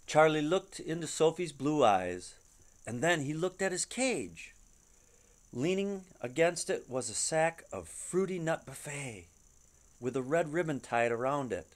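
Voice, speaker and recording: A middle-aged man reading a children's picture book aloud at home in an expressive, dramatic storytelling manner. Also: on the high side, a little slow. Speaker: storybook reader. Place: living room at home. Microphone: webcam at about arm's length.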